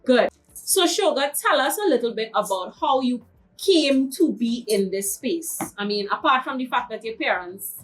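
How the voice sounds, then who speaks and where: A woman's voice: fairly high pitch, lively intonation, normal speed, distant mic, low-quality recording. Woman, slightly off-mic. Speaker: interviewer host. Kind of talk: interview chat. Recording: voice a bit off-mic.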